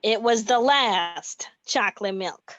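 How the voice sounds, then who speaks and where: A woman's voice: mid-range voice, slow pace, a bit bright, muffled recording. A woman playing a petulant schoolboy son of a mobster in an amateur old-time radio comedy. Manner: petulant, childish complaining.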